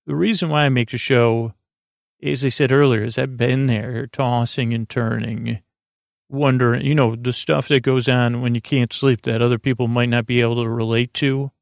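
A man hosting a sleep podcast, talking gently in a meandering, halting, goofy monologue with a creaky voice. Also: medium pitch, fairly even intonation, dry recording, studio quality. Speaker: podcast host.

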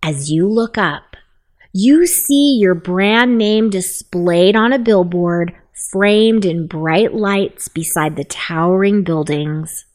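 A woman leading a guided meditation in a soft, soothing, unhurried voice. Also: medium pitch.

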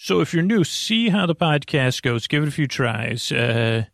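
A middle-aged man with a creaky voice hosting a bedtime podcast, meandering and halting through a self-deprecating, off-topic monologue. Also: on the high side, animated, no room sound, studio quality. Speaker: host of a bedtime sleep podcast.

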